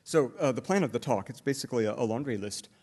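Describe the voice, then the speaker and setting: A middle-aged man lecturing at a conference, explaining step by step and often pausing on "uh" fillers and restarts. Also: mid-range voice, a little mellow. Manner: hesitant, many "uh" fillers and restarts; explanatory, walks through examples step by step. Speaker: linguistics lecturer. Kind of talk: academic conference talk.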